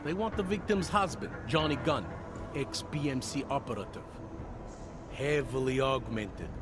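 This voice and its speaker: An actor playing a world-weary veteran city police detective in a game cutscene, wry and half-joking, over loud music. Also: male voice, medium pitch, a little slow, close to an everyday voice. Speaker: game character.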